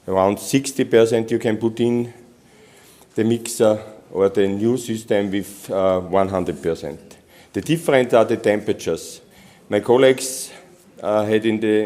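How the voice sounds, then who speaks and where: A man from Austria, a native German speaker, giving a technical conference presentation in halting English with many restarts. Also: fairly low pitch, darkish tone. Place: conference hall.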